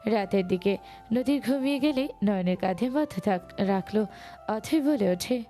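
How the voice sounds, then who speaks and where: A woman's voice: medium pitch, expressive, normal speed, dark tone, soft background music. A woman reading scripted narration for a romantic audio story.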